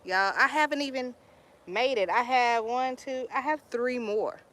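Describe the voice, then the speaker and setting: A young Texan woman talks into her phone out in her garden, unhurried and chatty. Her voice sits a bit high, and she drawls through stories in easygoing Southern slang. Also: fairly bright tone. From Texas, US. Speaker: homesteading live streamer. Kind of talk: casual live stream chat. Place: outdoor garden.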